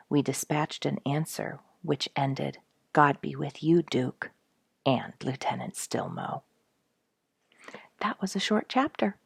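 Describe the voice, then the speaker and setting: A woman with a low-pitched voice reads aloud as a storybook host. Her phrasing is expressive and emphatic, addressed to listeners as friends. The sound is dry and close, with very little room in it. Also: creaky, no room sound. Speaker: host reading a book chapter aloud to listeners. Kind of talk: expressive children's storybook reading.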